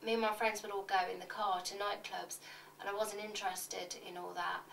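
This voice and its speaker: A woman reading scripted confessions with an awkward, deadpan delivery. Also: medium pitch, a little fast, vocal fry. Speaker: reader of fans' confessions. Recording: echoey room, distant mic, faint noise.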